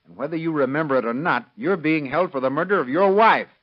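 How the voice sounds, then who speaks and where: A man's voice: a little high, fast, close to an everyday voice. A man acting a sardonic, clipped police detective in an old radio drama, in a dull vintage recording. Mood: stern, accusing. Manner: clipped, hard-boiled, slangy. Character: brisk, sardonic, skeptical male police detective.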